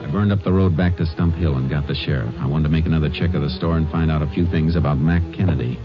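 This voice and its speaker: An actor playing a calm, methodical Texas Ranger in a 1950s radio drama, speaking in terse, measured, matter-of-fact lines. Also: male voice, extremely low pitch, loud music behind the voice. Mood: matter-of-fact narration. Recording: dull vintage 1950s radio recording.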